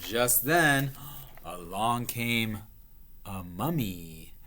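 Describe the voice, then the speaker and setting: A man reading a storybook aloud to young children as their teacher, expressively stretching words and pausing to explain. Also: lowish voice, lively intonation, pushed and bright, a little hiss or hum.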